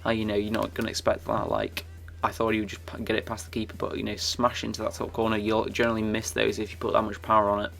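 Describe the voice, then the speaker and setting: A young man giving casual, rambling commentary over his football game footage, his voice croaky and full of fillers and restarts. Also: fairly low pitch, monotone, fast talker, hardly any pauses, a bit bright. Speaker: gaming YouTuber.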